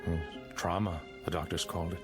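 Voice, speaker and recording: An actor playing a middle-aged financial consultant, unsettlingly polite and prying, with apologetic hesitations, in a vintage TV drama. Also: male voice, sits low, fairly even intonation, brisk, natural voice. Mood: probing, apologetic. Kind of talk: mid-century American TV drama acting. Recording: vintage TV soundtrack with music behind.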